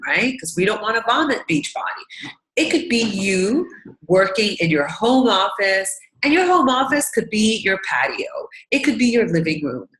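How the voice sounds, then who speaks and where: A woman's voice: mid-range voice, fairly bright tone, echoey room, rough recording. A woman with a congested, stuffy nose coaching new team members on a training call, upbeat and encouraging, often checking in with "right?" and "okay?". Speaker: business coach.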